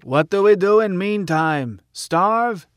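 Audiobook heckler male reader putting it on: fat, peevish complainer at a meeting, high querulous whine. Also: big pitch swings, very slow, fairly bright tone, no room sound, clean studio sound, exaggerated voice. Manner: whining, querulous.

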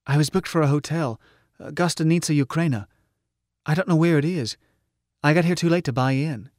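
Man voicing an uncertain woman, lightened higher pitch. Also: a little fast, darkish tone, dry recording, studio quality, natural voice. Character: startled, uncertain young woman on the run.

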